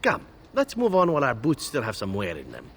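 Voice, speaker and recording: A suave male elf from a fantasy game. The delivery is flippant and fatalistic, with extremely lively swoops in pitch. Faint ambience sits behind him. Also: a little fast, vocal fry, slight background noise. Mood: breezy. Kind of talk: video game character dialogue.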